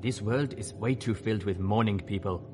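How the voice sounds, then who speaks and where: A man voicing a gloomy, menacing female zebra fighter who speaks gravely and slowly. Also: on the low side, background noise, lightly acted. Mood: grave, morose. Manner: grave, slow, mumbling.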